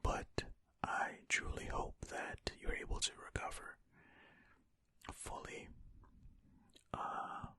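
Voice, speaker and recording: Whispering ASMR host, fully unvoiced and sharp. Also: slow pace, long pauses, no echo. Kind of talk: whispered ASMR, casual reading aloud with commentary.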